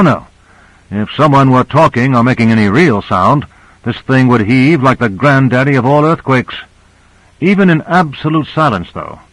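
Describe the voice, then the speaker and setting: A man's voice: medium pitch, fairly bright tone, dry recording, lightly acted, poor audio. A man voicing a knowing, assured male scientist who explains things in an audiobook.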